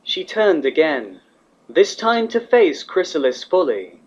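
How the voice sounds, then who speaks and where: A woman reading fan fiction as the narrator, in an expressive scripted storytelling style. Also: sits low, slow pace, husky. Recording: slightly dull, muffled audio.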